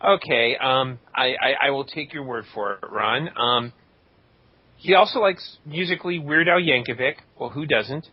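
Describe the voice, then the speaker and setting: A man hosting a podcast in a casual, tongue-in-cheek way as he reads out listener shout-outs. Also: mid-range voice, normal speed, slightly rough, dry recording. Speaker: podcast host. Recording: dull lo-fi voice recording.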